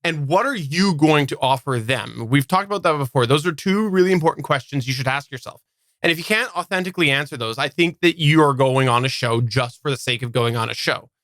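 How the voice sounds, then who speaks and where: Bright-voiced man giving podcast advice. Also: a little high, lively intonation, a little fast, dry recording. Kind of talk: casual live podcast Q&A discussion.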